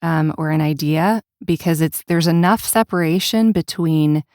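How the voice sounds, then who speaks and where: A woman hosting a podcast, talking in a reflective, measured, conversational way. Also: low voice, darkish tone, no echo, clean studio sound. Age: adult, parent of children. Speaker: podcast host. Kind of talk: conversational interviewing.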